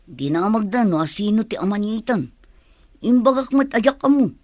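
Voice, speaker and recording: A woman's voice: medium pitch, expressive, brisk, darkish tone, no echo, studio quality, natural voice. A woman acting in a radio drama as a gossipy village woman who gets defensive.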